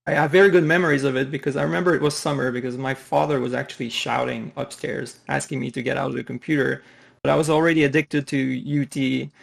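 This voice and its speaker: A man's voice: mid-range voice, gravelly. A man from Quebec, a native French speaker with a Quebec French accent, in his thirties, answering interview questions on a call with many fillers and restarts. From Quebec, Canada. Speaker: podcast guest. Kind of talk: casual interview chat.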